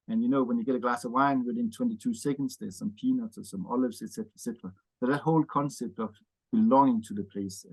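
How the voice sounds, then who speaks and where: A middle-pitched man in his fifties speaks English with a Danish accent. His talk rambles easily, with many "uh"s and "yeah"s tagged onto the end of his sentences. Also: breathy edge, poor audio. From Denmark. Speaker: interview guest, wine bar owner. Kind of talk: casual interview chat.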